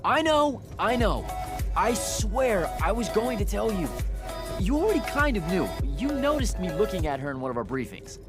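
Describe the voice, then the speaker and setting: Young battlemage for a game cutscene: highish, very animated, earnest, halting, defensive. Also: male voice, music in the background. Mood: flustered, pleading. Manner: stammering, halting, pleading. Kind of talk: video game cutscene acting.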